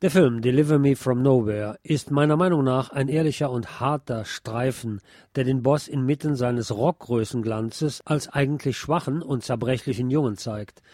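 Dunkel klingender Filmkritiker, trockene Aufnahme. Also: male voice, medium pitch, normal speed, warm tone, no echo, studio quality, soft background music. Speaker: film reviewer. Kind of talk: scripted radio-style review narration.

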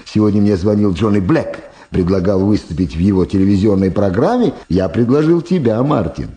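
A male actor plays a scheming, smooth-talking political backer. His voice is low and mellow, swooping freely as he coaxes and insists. He repeats words and pauses theatrically, in the manner of radio drama. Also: lively intonation, lightly acted. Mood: coaxing, excited.

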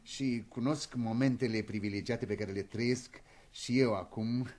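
An actor playing an eager, delighted elderly academician with effusive, theatrical delivery in a radio comedy. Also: male voice, medium pitch, steady tone, darkish tone, natural voice. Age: older.

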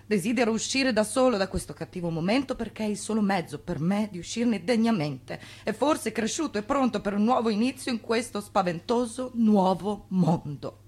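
An actress voicing a proud, resolute letter-writer in a solemn dramatic reading for a radio play. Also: female voice, mid-range voice, expressive, normal speed, hardly any pauses, a little mellow, husky.

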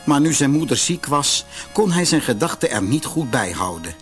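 A male storyteller narrates a children's fairy tale with lively, swooping intonation. His reading is measured and expressive, in classic audiobook style, with music behind it. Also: medium pitch.

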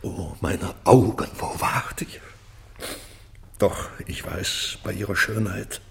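A man's voice: low voice, slow, vocal fry, whispered, full character voice. An actor playing a proud, remorseful old British king, declaiming solemnly in classical verse for a radio play. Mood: grieving, self-reproachful. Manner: declamatory, solemn, breaking into hushed tones.

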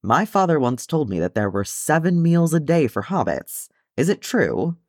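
Man acting a proud male dwarf, hearty and musing, formal measured phrasing, lively intonation, storybook character, dry close sound. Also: a little high, no room sound, pitched above the performer's own voice. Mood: amused, hearty.

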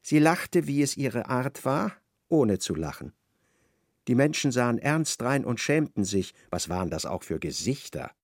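Wry storyteller, gravelly, very animated, ironic, radio play narration, dry sound. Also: male voice, mid-range voice, measured pace, no room sound. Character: wry literary storyteller slipping into a character's thoughts. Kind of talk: literary radio drama narration.

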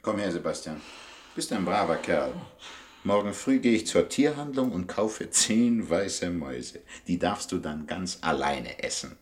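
A man playing a brash, impatient, wisecracking gentleman crook in a comic radio crime play, scolding in colourful phrases. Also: low voice, lively intonation, unhurried. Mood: coaxing. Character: brash, exasperated, wisecracking gentleman crook, boss of his gang. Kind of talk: 1960s German radio comedy crime play. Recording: roomy, slightly noisy 1960s radio recording.

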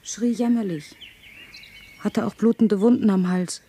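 An actress playing an indignant housemaid in a German radio play, telling grim household gossip in a hushed, dramatic way. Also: female voice, medium pitch, fairly even intonation, a little slow, husky.

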